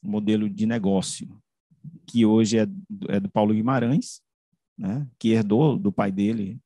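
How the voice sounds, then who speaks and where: A man's voice: fairly low pitch, fairly even intonation, a little slow, warm tone, no echo. A middle-aged man gives a conversational academic presentation over a video call, often tagging his phrases with little checking questions. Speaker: researcher and author giving a presentation. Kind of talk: academic slide presentation, explanatory talk. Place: indoor room, on a video call. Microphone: computer mic on a video call.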